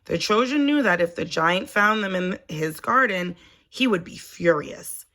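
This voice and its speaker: A woman reads a children's story aloud at a very slow, deliberate pace. Her voice is bright and forward, sitting a little low. She draws out phrases expressively as a storyteller would. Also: expressive. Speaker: storybook reader, teacher.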